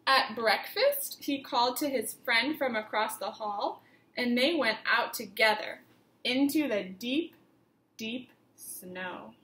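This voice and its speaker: A woman teacher reading a picture book aloud to young children, with expressive emphasis and simple questions put to the listeners. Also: fairly high pitch, takes it slowly, roomy sound, low-quality recording. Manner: simple instructive phrasing, questions addressed to children.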